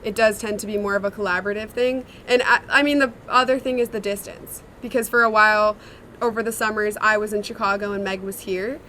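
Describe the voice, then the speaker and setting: Bright-voiced young woman, chatty songwriter. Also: mid-range voice, steady tone, brisk, slight background noise. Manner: chatty and reflective, many "like" fillers. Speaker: songwriter and singer in a sister duo. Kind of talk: casual interview answers.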